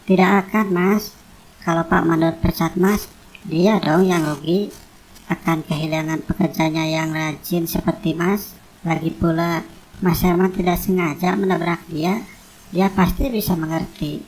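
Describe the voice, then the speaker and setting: Woman playing a lowish-voiced, steadfast loyal wife. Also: steady tone, measured pace, a little mellow, a little hiss or hum, rough recording. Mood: tender, reassuring. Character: loyal, bold young wife defending her husband. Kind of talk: cartoon drama dubbing.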